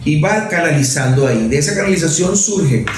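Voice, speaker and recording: A man's voice: on the high side, lively intonation, a little fast, slight background noise. A Venezuelan man in his fifties, a professor and politician, explaining political history in a methodical lecturing way, checking in with "no?" as he goes. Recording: very echoey room.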